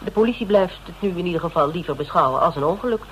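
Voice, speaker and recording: An actor playing a persistent, polite private detective in a Dutch radio drama, speaking in a measured, explanatory, quietly probing way. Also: male voice, high voice, expressive. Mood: calm, explanatory. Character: persistent male private detective questioning a suspect, methodical and polite. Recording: noisy old radio drama recording.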